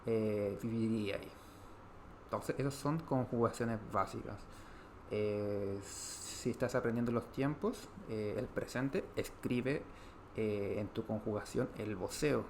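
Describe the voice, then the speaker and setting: A man from Chile with a Chilean Spanish accent, explaining grammar in an online language lesson, pausing often on "eh" and restarting phrases. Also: mid-range voice, slight background noise. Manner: explanatory, frequent "eh" fillers, repeats and restarts. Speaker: Spanish teacher.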